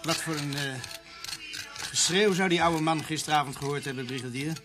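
An actor playing a dutiful, mild young police constable, speaking politely and deferentially in a radio drama. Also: male voice, a little high, moderate pace, over music, lightly acted. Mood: earnest.

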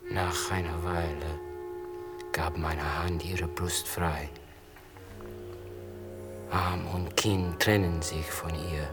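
Humble secret lover, radio play narration, deep hushed voice, very slow measured delivery, music behind. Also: male voice. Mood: hushed, wistful. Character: furtive male lover, humbly pleading, confessing his touch.

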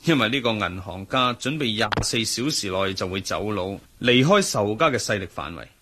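An actor playing a sociable, sceptical agency chief in a Hong Kong Cantonese radio drama, talking in an emphatic, conversational way. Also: male voice, fairly low pitch, expressive, normal speed, fairly bright tone, a little hiss or hum. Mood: concerned.

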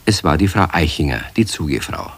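A man narrating a scripted children's radio play as a calm storyteller. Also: very deep voice, a little fast, a little hiss or hum.

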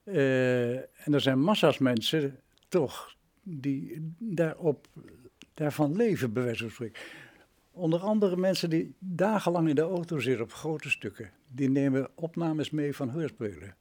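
A man's voice: a little high, animated, measured pace, a little mellow. A man in his nineties from the Netherlands, reminiscing in an interview as a retired radio drama director, with vivid examples and rhetorical questions. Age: 90s.

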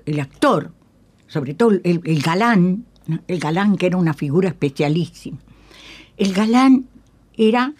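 A lowish-pitched older woman with a Uruguayan Spanish accent looks back on her working life in a radio interview. She tells it emphatically and in halting pieces, repeating phrases for weight and tagging them with little questions. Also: darkish tone, breathy edge. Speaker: guest, veteran radio actress. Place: radio studio.

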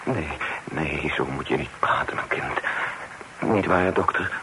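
An actor playing a grieving, tender father in a radio drama, pleading gently and sorrowfully. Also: very deep voice, a little slow. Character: grieving, tender old father at his daughter's bedside. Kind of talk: old radio drama acting. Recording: noisy old radio play recording.

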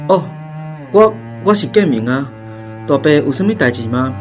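A man's voice: on the high side, lively intonation, moderate pace, faint music behind, lightly acted. Man playing an anxious, earnest Taiwanese son. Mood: startled, respectful. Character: anxious adult son, respectful toward his elders. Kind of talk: radio drama dialogue.

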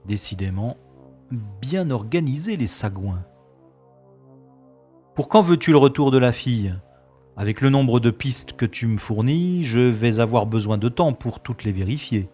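A man's voice: medium pitch, expressive, measured pace, warm tone, slightly rough. Man playing a sardonic special agent, faint music. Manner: sarcastic, commanding. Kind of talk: science-fiction audio drama acting.

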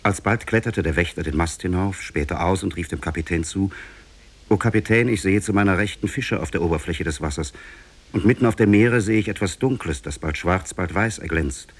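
An actor playing a grave shipwrecked prince, recounting his ordeal in solemn, measured, literary 1950s radio drama style. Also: deep voice, breathy edge. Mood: grave foreboding. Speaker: storytelling character in a radio play. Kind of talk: 1950s German radio drama, literary fairy-tale narration.

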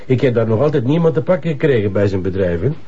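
A man playing a brisk, sceptical police colleague in a crime drama, with a Flemish accent. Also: medium pitch, expressive, lo-fi sound, loud music behind the voice, natural voice. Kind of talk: naturalistic TV crime drama acting.

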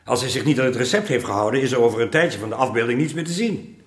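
An actor playing an exacting master painter in a historical radio drama, chiding his apprentice in an unhurried way with hesitant pauses. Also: medium pitch, lightly acted. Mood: measured. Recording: echoey room, music underneath.

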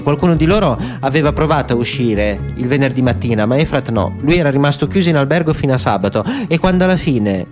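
A man giving a dramatic literary narration in a radio theatre style. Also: medium pitch. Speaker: narrator, stage actor.

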